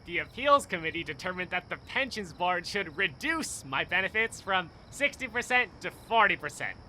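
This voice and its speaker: Bitter male war veteran, high voice, very sharp tone, indignant, sarcastic, radio drama, faint crickets behind. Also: sing-song, normal speed, slight background noise, heavily acted, low-quality recording. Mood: exasperated, sarcastic. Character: bitter, exasperated wounded war veteran on crutches. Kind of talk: radio play acting.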